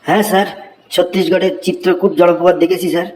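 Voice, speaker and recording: A man's voice: high-pitched, flat delivery, quick pace, a little mellow, natural voice. A man doing a schoolboy reciting by rote. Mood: recitation. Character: schoolboy Poltu, reciting an essay.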